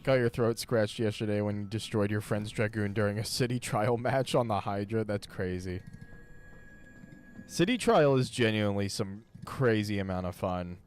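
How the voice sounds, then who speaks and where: A man hosting his game stream chats in a relaxed, playful way and laughs easily. His voice sits on the low side. A faint noise runs under the recording. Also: normal speed. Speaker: streamer, host. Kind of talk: casual chat while streaming a game.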